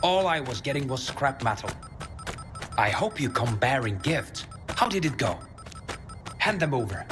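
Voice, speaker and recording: Game scientist role: fussy, ambitious researcher, lively exasperated delivery, background sound under the voice. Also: male voice, mid-range voice, unhurried, long pauses, music in the background. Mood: impatient, disappointed. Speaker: quest-giving scientist. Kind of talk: video game voice acting.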